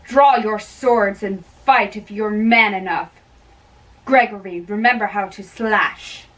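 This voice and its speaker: A woman giving an amateur dramatic reading of a play, performing all the parts in a theatrical, halting way. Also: medium pitch, fairly bright tone. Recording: distant microphone.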